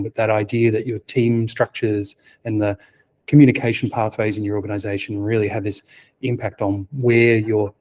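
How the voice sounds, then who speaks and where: A middle-aged man giving a technical conference talk, soft-spoken with a quiet voice. He hesitates often, fills with "um" and restarts, and drops wry asides. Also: on the low side. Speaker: conference speaker.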